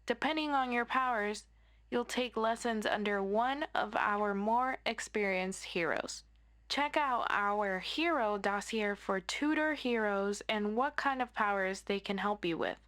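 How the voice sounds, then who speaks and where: A woman's voice: medium pitch, a little slow, a bit bright, close to an everyday voice. A woman playing a friendly superhero best friend in a scripted audio roleplay, giving an orderly, encouraging orientation lecture. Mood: instructive.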